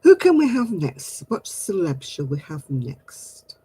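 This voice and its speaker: A man's voice: on the high side, huge pitch swings, slow, mellow, breathy edge, poor audio. A middle-aged man, hosting a casual craft livestream and chatting with viewers in a giggly, rambling way. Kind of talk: casual chat answering viewer comments.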